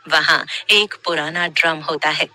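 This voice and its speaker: Bright-voiced woman narrating a horror story. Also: sits low, measured pace.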